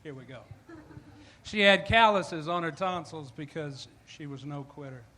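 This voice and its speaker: A man doing a short stand-up set of deadpan one-liners. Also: fairly high pitch, a little slow. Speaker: open mic comedian.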